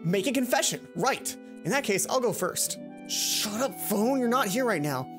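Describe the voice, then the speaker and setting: A woman's voice: fairly high pitch, lively intonation, moderate pace. A woman hosting a let's play, reading a visual novel aloud and dropping in chatty, playful comments. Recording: music behind the voice.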